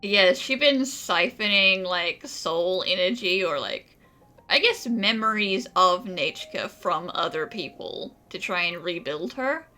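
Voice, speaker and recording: A woman's voice: lowish voice, lively intonation, slow, pushed and bright, faint music behind. A woman giving casual, off-the-cuff commentary while playing a video game. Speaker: gamer commentator.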